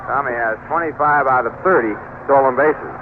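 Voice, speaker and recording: A man's voice: medium pitch, normal speed. A man with an American accent calling baseball play-by-play in the style of 1970s radio. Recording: noisy, tinny old AM radio broadcast.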